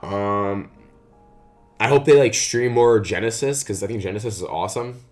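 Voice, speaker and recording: Raspy-voiced young man rambling on stream. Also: lowish voice, normal speed, soft background music. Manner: rambling, lots of fillers like "uh" and "like". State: raspy morning voice. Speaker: card game streamer. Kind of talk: casual live-stream chat.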